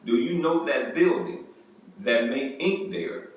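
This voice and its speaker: A man's voice: medium pitch, animated, takes it slowly, a little hiss or hum. A man reading the lines of a curious young prince investigator, in a plain, slightly lifted voice. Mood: questioning. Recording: distant dull echoey room recording.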